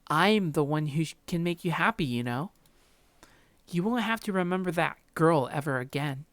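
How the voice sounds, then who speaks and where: A man's voice: fairly high pitch, animated, normal speed, a bit bright, dry recording, voice pushed up. A man voicing a coaxing, possessively affectionate young woman in a visual novel, cooing and soothing. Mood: pleading, possessive. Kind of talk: visual novel character read-aloud.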